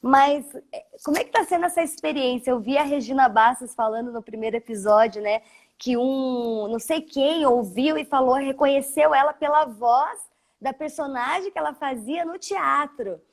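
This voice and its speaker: A woman's voice: sits high, normal speed. A Brazilian woman interviewer chatting casually with her guest over a video call.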